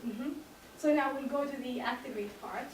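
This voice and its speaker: A woman giving a class presentation, explaining in a halting, loosely phrased way, recorded from the back of a large classroom. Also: sits high. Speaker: student presenter. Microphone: far camera. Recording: echoey room from far off, noisy.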